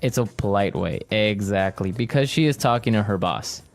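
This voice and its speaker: A man co-hosting an English lesson podcast, upbeat and repeating phrases slowly for learners to copy. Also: lowish voice, vocal fry, faint music behind. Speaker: podcast co-host, English teacher.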